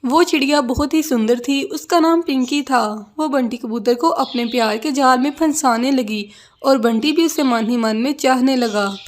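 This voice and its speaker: A woman's voice: high voice, normal speed. A woman narrating a scripted children's cartoon story.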